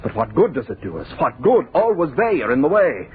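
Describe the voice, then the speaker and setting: An actor playing a bitter, world-weary immortal of a future world in an old radio horror drama, with a condescending, impassioned, theatrical delivery. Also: male voice, on the high side, sing-song, a little fast. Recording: muffled, noisy old radio recording.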